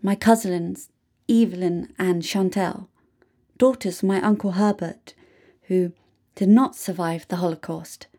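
A woman reading a scripted first-person story aloud in a deliberate manner, with dramatic pauses. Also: fairly low pitch, steady tone, dark tone. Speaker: reader of a written true story.

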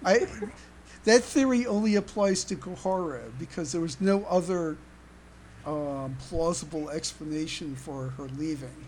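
A podcast host chatting casually in a halting way, often stammering and repeating words. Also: medium pitch, a little mellow. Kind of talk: casual chat. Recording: clear background noise.

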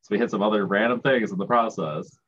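A man co-hosting a podcast in relaxed casual chat, swearing freely and laughing along. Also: on the low side, fast. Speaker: podcast co-host. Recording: dull lo-fi mic with faint hiss.

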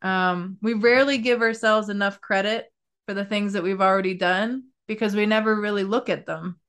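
A woman's voice: medium pitch, steady tone, moderate pace, fairly bright tone, smooth. A woman hosting a podcast interview, upbeat and effusive, laughing often as she chats. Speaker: podcast host. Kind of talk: casual interview chat.

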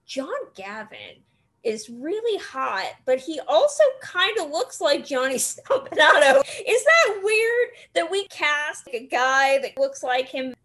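A woman hosting a casual film discussion, talking in an animated way with wry asides. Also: high-pitched. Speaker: host of a film discussion podcast.

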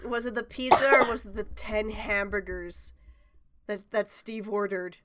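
A woman's voice: mid-range voice, measured pace, a bit bright. A young woman with a stuffy, congested voice from a cold, co-hosting a podcast in a chatty, self-mocking way with casual swearing. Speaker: podcast co-host.

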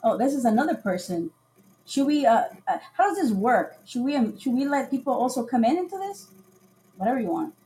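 Mellow-voiced chatty woman from Costa Rica. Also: high voice, sing-song, a little fast, slight background noise, poor audio. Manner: chatty storyteller who acts out quoted dialogue. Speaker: podcast guest. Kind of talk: casual chat on a livestream.

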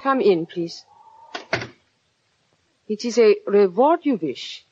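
An actress playing a haughty, melodramatic foreign femme fatale with formal phrasing in a 1940s radio drama. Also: female voice, mid-range voice, animated, takes it slowly, darkish tone, a little hiss or hum. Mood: guarded, haughty. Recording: muffled vintage radio recording.

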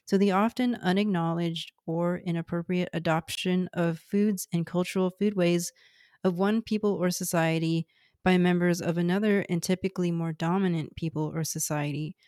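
A woman in her thirties, whose first language is Cantonese, reads a scripted podcast introduction in a measured, earnest way. Her voice sits low for a woman. The recording is dry and close, with no room sound. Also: mellow, dry recording. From Houston, Texas, US. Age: 30s. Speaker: podcast host.

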